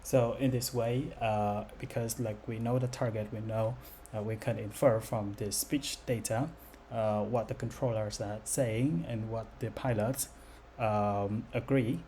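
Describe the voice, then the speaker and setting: A man giving an unscripted software demo as its developer, speaking in a halting, hesitant way. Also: lowish voice, steady tone. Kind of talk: unscripted screen-recorded demo narration. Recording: noticeable background noise.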